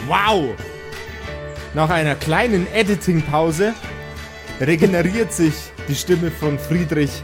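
Higher-voiced male game master. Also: slow, long pauses, music in the background. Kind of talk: improvised tabletop game narration.

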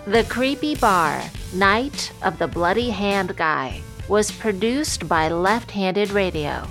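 A woman's voice: mid-range voice, animated, slow pace, a bit bright, soft background music. A woman announcer giving a scripted credits read.

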